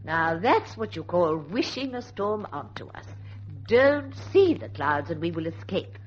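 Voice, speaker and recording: A woman's voice: lowish voice, very animated, measured pace. An actress playing a fussy, pedantic older woman in an old-time radio drama, prim, scolding and fluttery. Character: Martha, fussy, pedantic, fretful older woman, stickler for grammar. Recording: muffled old radio recording, faint noise.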